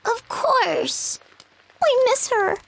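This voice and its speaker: Brief: woman voicing small children, squeaky, lively pitch, slow. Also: creaky, heavily acted, low-quality recording. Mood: eager. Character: small eager children, girl and boy.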